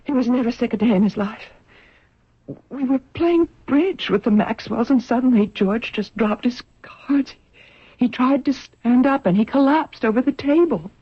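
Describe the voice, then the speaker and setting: A distressed, anxious wife who speaks with earnest feeling. The voice is faintly husky, in old radio drama style on a muffled recording. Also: female voice, a little high, normal speed, no room sound. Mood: shaken, anxious. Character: anxious, shaken wife of a stricken patient.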